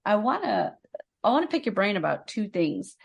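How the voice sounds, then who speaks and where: A woman's voice: medium pitch, sing-song, moderate pace, vocal fry, breathy edge, dull audio. A woman in her thirties hosting a small-business podcast, chatty and thinking aloud with fillers. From Chicago area, United States. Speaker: podcast host. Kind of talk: interview podcast.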